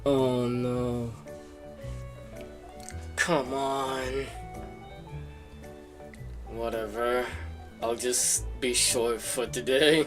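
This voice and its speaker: Slow, easygoing man streaming a game. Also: mid-range voice, flat delivery, music in the background. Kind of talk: casual livestream chat while playing.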